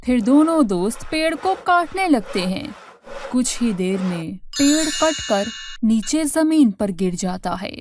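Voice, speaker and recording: A woman's voice: on the high side, very animated, a little slow, music in the background. A woman reading scripted narration for a children's story.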